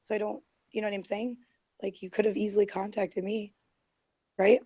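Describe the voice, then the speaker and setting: A woman's voice: mid-range voice, little pitch movement, normal speed, warm tone, slightly rough, no echo, highs cut off. A woman who is losing her voice, talking defensively and rambling with many fillers on a group call. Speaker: guest. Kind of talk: heated online group call.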